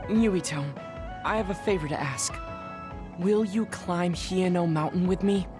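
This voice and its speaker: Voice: mid-range voice, natural voice. A performer in an English game dub voicing a psychic decades old in a child's body, speaking formally and precisely, proud but insecure, over music. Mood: earnest, determined. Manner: formal, precise, measured speech. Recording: music behind the voice.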